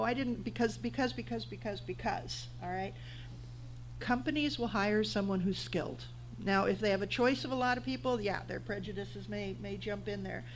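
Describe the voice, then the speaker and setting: A woman in her fifties on a discussion panel, talking straight and encouraging people to go for their goals. Her voice sits at an ordinary pitch, with a faint hum and hiss behind it. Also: low-quality recording. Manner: blunt, encouraging, gives advice.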